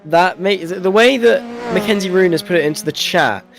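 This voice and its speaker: A man's voice: high-pitched, normal speed, vocal fry, fairly bright tone. A man co-commentating on live sim racing, chatty and self-deprecating, drifting into casual banter.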